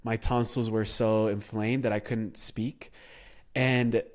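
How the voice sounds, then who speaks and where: A man hosts a podcast interview in a somewhat low voice that is still hoarse as it recovers. He talks in a reflective, casual way, circling around his questions before he asks them. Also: little pitch movement, takes it slowly. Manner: reflective, many fillers and restarts. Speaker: podcast host.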